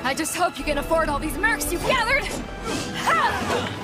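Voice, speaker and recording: An actress playing a fierce, haughty female army general in a video game, with a commanding, forceful manner. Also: very high voice, very animated, normal speed, over loud music. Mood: commanding, scornful. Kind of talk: video game battle acting.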